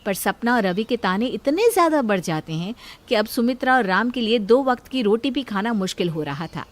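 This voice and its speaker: Woman storyteller, highish voice, reading out a scripted family tale. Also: expressive, brisk. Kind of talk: scripted Hindi story narration.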